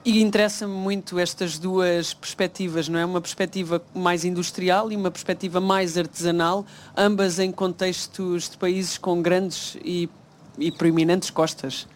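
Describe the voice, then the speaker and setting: A man with a European Portuguese accent, from the Baixo Alentejo, moderating a panel discussion at an event, talking quickly and filling pauses with "ah". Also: sits high, level tone, slight background noise. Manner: quick, thinks aloud with many "ah" fillers.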